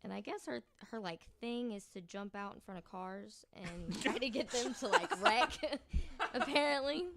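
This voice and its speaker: A woman's voice: a little high, a little slow, gravelly, a bit bright, slight background noise. A woman telling stories in a casual, hesitant way as a podcast guest, as if piecing details together while reading. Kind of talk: casual storytelling chat.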